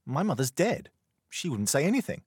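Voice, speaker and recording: Bold high-pitched young stable boy who talks back, a man voicing him, husky edge, dry close sound. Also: big pitch swings, normal speed, no room sound. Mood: flat. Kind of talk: audiobook character voice.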